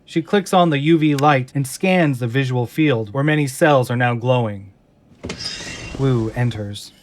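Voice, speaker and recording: A man's voice: mid-range voice, measured pace, a little hiss or hum. A man reading scripted dramatic narration for an audio drama in a suspenseful storytelling manner. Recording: music and effects behind narration.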